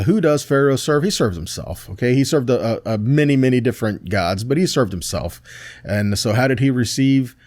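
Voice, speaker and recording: A man's voice: mid-range voice, darkish tone, dry recording. A man teaching scripture in a sermon, reading verses aloud and checking in with short tag questions. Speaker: preacher teaching a Bible study.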